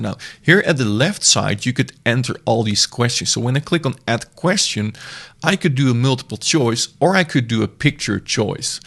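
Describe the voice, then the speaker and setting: Man with a gravelly voice, casual and meandering as he walks through software on screen, often restarting mid-sentence. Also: lowish voice. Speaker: software reviewer. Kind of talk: screen-recorded software demo.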